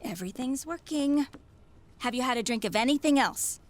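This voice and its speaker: An actress playing a brisk, motherly visiting caregiver in a 1930s radio drama, reassuring and full of instructions. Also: high voice, expressive. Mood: checking, reassuring.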